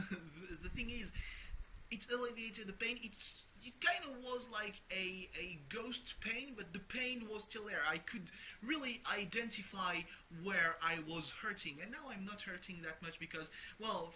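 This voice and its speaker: Rambling young man with a bright voice. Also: high voice, slight background noise, poor audio. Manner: rambling, many restarts and fillers, swears casually. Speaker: vlogger. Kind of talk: casual personal video diary.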